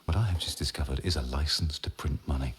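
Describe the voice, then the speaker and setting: A man's voice: a bass voice, fast, a little hiss or hum. A male actor in a radio drama playing an ambitious scientist who talks in an eager, persuasive way.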